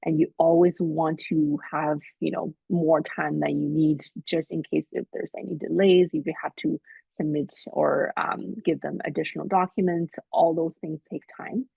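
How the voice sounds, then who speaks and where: A woman hosts an admissions webinar and walks through deadlines and rules in a practical, advising way, full of fillers. Her voice is husky with a gravelly edge. The sound is dry and muffled, like a video call mic. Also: sits low, level tone, normal speed, warm tone, no room sound. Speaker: admissions staff host. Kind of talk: webinar presentation. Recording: muffled, dull webinar audio.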